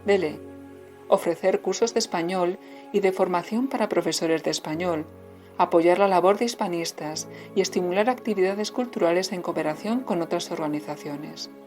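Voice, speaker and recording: A woman reading a scripted informational narration in a formal, measured way. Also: fairly low pitch, darkish tone, soft background music.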